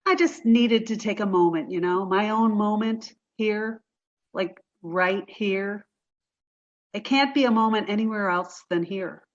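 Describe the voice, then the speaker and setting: An actress playing an apologetic, grieving forty-three-year-old artist in a play reading, with a halting, emotional delivery. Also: female voice, mid-range voice, dull audio, close to an everyday voice. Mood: apologetic, awkward.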